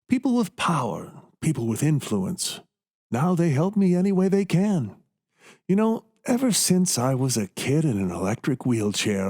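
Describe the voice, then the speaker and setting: A man playing a coldly scheming, gloating doctor in a radio play, speaking with deliberate, condescending menace. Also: medium pitch, a little mellow.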